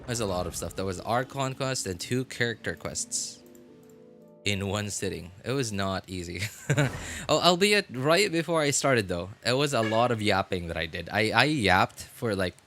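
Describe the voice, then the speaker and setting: A man's voice: on the low side, animated, moderate pace, bright, forceful tone, faint music behind. A man chatting casually and at length as he livestreams a game. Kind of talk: casual rambling stream chat.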